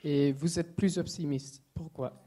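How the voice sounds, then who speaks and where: A woman with an English accent speaks slowly in a low, mellow voice. She is putting a prepared question to an academic panel, pausing between phrases. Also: steady tone, husky. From England. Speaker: audience member asking a question. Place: lecture hall at a public panel.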